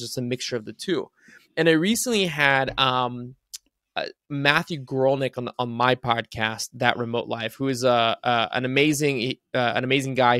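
A young man from Bulgaria, a native Bulgarian speaker, talking casually and quickly as a podcast guest over a video call from home, using lots of filler words. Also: mid-range voice, fairly bright tone, no echo, clean studio sound. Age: young adult. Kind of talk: casual interview talk. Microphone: studio mic on desk.

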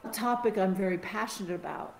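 A middle-aged American woman chats on a podcast, animated and full of restarts. Her voice has an ordinary pitch for a woman. It carries a roomy echo over a faint hiss. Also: brisk, vocal fry. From United States. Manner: chatty, animated, with many restarts. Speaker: podcast co-host. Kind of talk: casual podcast chat.

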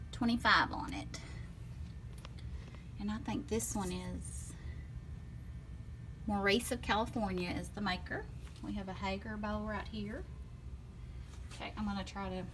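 A woman's voice: mid-range voice, steady tone, a little slow, long pauses, bright, forceful tone, far from the mic, a little hiss or hum. Chatty woman touring her antique booth on phone. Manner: chatty, gushing over items, trails off mid-sentence. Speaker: booth owner giving a shop tour. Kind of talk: casual walk-through vlog. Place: antique mall booth, indoors. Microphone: phone camera held while walking. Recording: phone audio, voice drifting off-mic.

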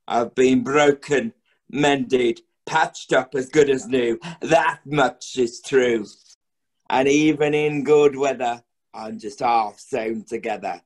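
An actor playing a weary, downtrodden working-class father, proud and bitter with grief, in a measured theatrical verse monologue. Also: mid-range voice, close to an everyday voice. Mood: proud, wounded. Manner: slow, measured, theatrical delivery with refrains.

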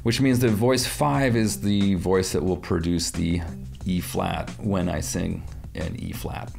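Low gravelly man calmly explaining software. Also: slow, soft background music. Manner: calm, methodical explaining with small restarts. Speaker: audio software tutorial presenter. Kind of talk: screen-recorded software tutorial. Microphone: headset-style headphones, webcam inset.

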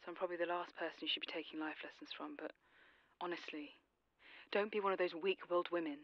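A woman's voice: fairly low pitch, fairly even intonation, dry recording, highs cut off, lightly acted. An actress playing a coldly composed, mockingly polite woman who has killed, in an audio drama. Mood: calm, earnest. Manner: measured, politely taunting.

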